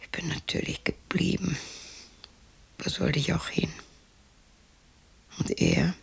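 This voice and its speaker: A woman's voice: low-pitched, slow pace, gravelly, slightly rough, whispered, exaggerated voice. An actress playing a lonely widow in her sixties who mutters to herself in a radio drama. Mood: bitter. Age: 60s. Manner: muttering to herself, shifting between musing and scolding. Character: lonely sixty-year-old widow talking to herself and imagined family.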